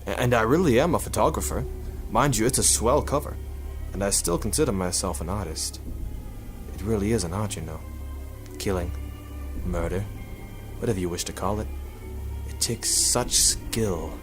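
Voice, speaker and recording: A man playing a coolly proud 1950s hitman in a noir radio drama, confiding in a deliberate, wry way. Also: fairly low pitch, over music, natural voice. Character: coolly proud 1950s hitman photographer narrating his confession.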